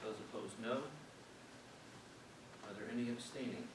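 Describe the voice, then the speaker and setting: A man's voice: fairly low pitch, steady tone, normal speed. Man chairing a formal meeting, very echoey, noisy. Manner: formulaic, procedural delivery. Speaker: school board meeting chair. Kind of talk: formal procedural meeting speech. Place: meeting room. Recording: noisy echoey meeting room, distant microphone.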